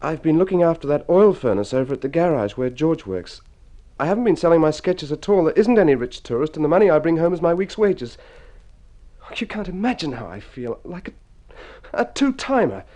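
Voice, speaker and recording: An actor playing a sheepish, devoted young husband in an old radio drama, speaking earnestly and hesitantly. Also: male voice, fairly high pitch, sing-song, brisk, warm tone. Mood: sheepish, confessing.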